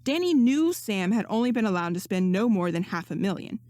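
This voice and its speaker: A woman reading fan fiction aloud as narrator, in an expressive audiobook style. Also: mid-range voice, a little fast, no room sound. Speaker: podfic narrator.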